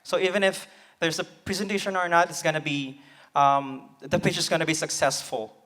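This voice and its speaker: A man's voice: on the high side, steady tone, normal speed, fairly bright tone. A man on a conference panel, explaining his point with rhetorical questions. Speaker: panelist, presentation design agency founder. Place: conference hall stage.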